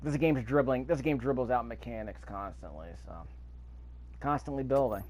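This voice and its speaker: A man's voice: mid-range voice, normal speed, darkish tone. A man giving offhand, rambling gameplay commentary and reading prompts aloud as he plays. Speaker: gaming video host. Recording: faint noise behind the voice.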